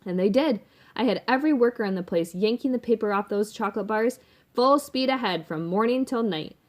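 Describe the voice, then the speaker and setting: A woman's voice: fairly high pitch, fairly quick, voice pushed up, close to an everyday voice. A woman voicing a boastful, doting rich businessman father in a storybook, emphatic and folksy. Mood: excited, doting.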